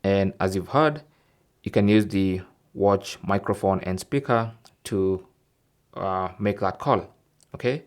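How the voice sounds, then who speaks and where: A man with a low-pitched voice hosts an instructional tech tutorial. He explains things step by step, often checking that the listener is following along. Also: slow pace. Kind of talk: instructional tech tip narration.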